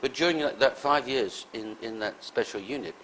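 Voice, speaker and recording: A male interviewer with an ordinary mid-range voice poses brief, measured questions. Light background ambience runs under the recording. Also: medium pitch, a bit bright, slight background noise, poor audio.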